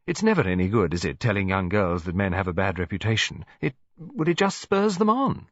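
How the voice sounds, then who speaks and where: Man playing elderly English lady, storybook, genteel, chatty, practical, animated pitch swings, dry muffled recording. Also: fairly quick, dry recording, full character voice. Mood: hesitant, confiding. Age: older. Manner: chatty, rambling, polite tag questions.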